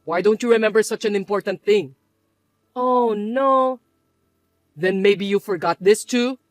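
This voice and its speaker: A woman voicing a calm adult son and husband who explains things to his mother in a firm, patient way. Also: mid-range voice, measured pace, no room sound, lightly acted. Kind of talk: dramatized story voice acting.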